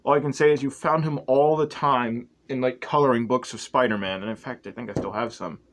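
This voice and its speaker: A man's voice: medium pitch, fairly even intonation, fairly quick. A young man chatting casually and offhandedly as he shows off a hobby project, rambling and self-deprecating. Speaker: host of a toy customizing channel. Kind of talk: casual hobby showcase chat. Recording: clearly noisy homemade recording.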